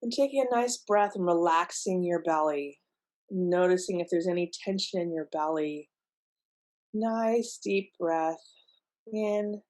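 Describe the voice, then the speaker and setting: A woman leading a guided relaxation in a gentle, unhurried, soothing manner with pauses between instructions. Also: on the low side. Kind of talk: guided meditation, softly instructive.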